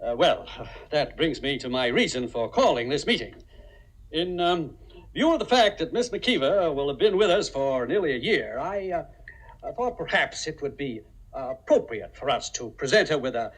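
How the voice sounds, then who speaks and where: Husky, pompous, animated office boss. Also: male voice, a little high, moderate pace, rough recording. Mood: pompous, formal. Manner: formal, pompous, hesitant under pressure. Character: blustering, pompous, secretly cowardly middle-aged office boss. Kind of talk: 1950s radio drama acting.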